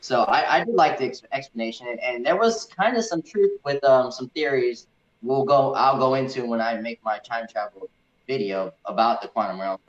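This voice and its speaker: Halting man in an echoey room. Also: mid-range voice. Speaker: co-host of a movie review show. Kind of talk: casual film discussion with live comments. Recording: dull, echoey room sound.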